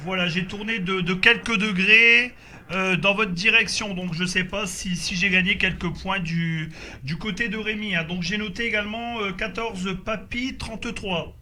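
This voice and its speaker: A man's voice: high voice, fairly even intonation, normal speed, bright, forceful tone. A man chatting casually as a radio operator during a group contact, speaking hesitantly and repeating his confirmations.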